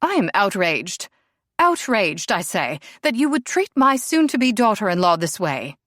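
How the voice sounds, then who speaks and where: A woman's voice: medium pitch, very animated, normal speed, fairly bright tone, dry recording, studio quality, voice pushed up. A woman voicing a flamboyant aristocratic mother, grand and over-the-top. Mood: indignant.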